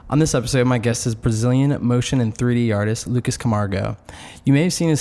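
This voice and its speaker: Male podcast host, mid-pitched. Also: darkish tone. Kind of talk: podcast introduction and interview.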